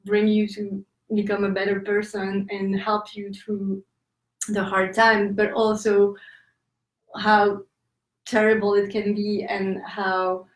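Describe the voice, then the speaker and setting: A woman's voice: mid-range voice, completely flat delivery, slow pace, recorded from a distance. A woman with a French accent, answering interview questions as an author, hesitant with many fillers and laughing asides. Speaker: author guest. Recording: roomy echo, off-mic.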